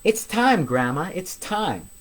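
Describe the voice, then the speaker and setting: A man voicing an eager, proud young girl from a children's storybook, speaking excitably. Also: high-pitched, slow pace, voice pushed up, heavily acted. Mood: eager, excited.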